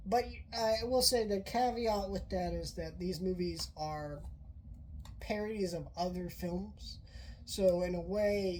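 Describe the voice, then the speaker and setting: A woman's voice: fairly low pitch, darkish tone, slight background noise, rough recording. A woman who is sick and sounds off, casually reviewing a movie in a rambling way with many fillers and restarts. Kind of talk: casual spoken film review.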